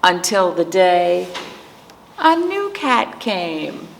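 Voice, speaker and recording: A woman librarian hosting a virtual storytime, speaking gently to children, asking them simple questions and reading a picture book aloud. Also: fairly low pitch, very animated, extremely slow, gravelly, a little hiss or hum.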